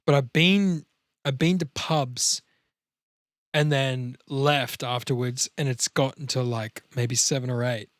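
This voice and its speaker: A man's voice: mid-range voice, steady tone, slow pace, no room sound, studio quality. An Australian man hosting a podcast in casual banter, with a rambling, sweary way of telling stories. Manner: rambling, sweary, digressive storytelling. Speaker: podcast host.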